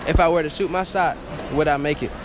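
Teasing teen guy with a high voice. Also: fairly even intonation, fast, noisy background. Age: teens. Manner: teasing, boastful, laughing. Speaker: host. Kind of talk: joking street interview. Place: indoor shopping mall. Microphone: handheld mic.

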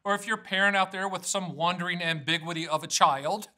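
A man's voice: high-pitched, fairly even intonation, fairly quick, pushed and bright. An American man in his fifties presenting an informal explainer to camera as host, emphatic, folksy and plain-spoken. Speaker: annuity agent hosting an educational video series.

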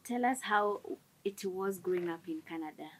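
A woman's voice: on the low side, moderate pace, breathy edge, low-quality recording. Woman host asking short direct questions. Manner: brief, direct prompting questions. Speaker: YouTube channel host. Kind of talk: interview questions.

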